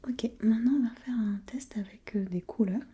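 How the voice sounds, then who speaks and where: A woman whispering breathily in an ASMR role-play as an examiner, gently asking step-by-step questions and giving short encouragements. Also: a little high, normal speed, slightly rough.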